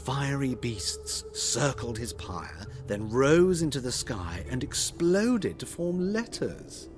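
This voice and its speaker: An actor playing a flamboyant, witty cross-dressing tailor in a fantasy video game, with a theatrical, coy delivery and drawn-out phrasing. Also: male voice, mid-range voice, sing-song, soft background music. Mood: gossipy. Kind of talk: video game dialogue acting.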